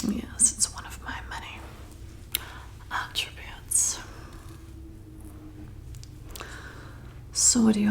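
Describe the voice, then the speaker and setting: A woman playing a flirty, slyly predatory vampire bartender in an intimate, coaxing, breathy whispered ASMR roleplay. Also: medium pitch. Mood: flirty, probing. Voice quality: breathy whisper with creaky edges. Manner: slow, soothing, intimate, coaxing.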